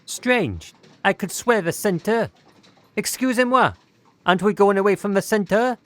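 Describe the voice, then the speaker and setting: A man's voice: sits high, moderate pace, a bit bright, no echo. An actor playing an admiring, uneasy young man on the run in a radio drama.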